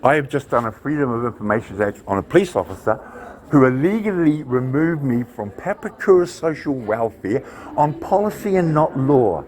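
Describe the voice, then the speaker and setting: Nz guy arguing with cops, loud and insistent, pushy, normal pitch. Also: expressive, moderate pace. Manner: loud, insistent, pleading his case. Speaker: member of the public confronting police. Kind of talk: heated argument. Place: government office lobby, indoors.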